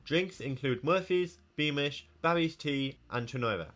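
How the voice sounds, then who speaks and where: A man with a Cork Irish accent narrates an educational geography explainer in a fairly high voice. His delivery is brisk and informative, and he rattles through long lists of names and places. Soft music plays faintly underneath. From Ireland, County Cork. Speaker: geography YouTuber.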